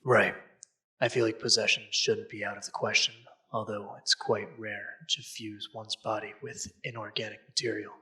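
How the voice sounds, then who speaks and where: Sharp, raspy, smug male boss played by a man. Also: lowish voice, level tone, normal speed, no room sound, full character voice. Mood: businesslike. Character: smug wealthy male leader of secret investigators. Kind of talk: audio drama acting.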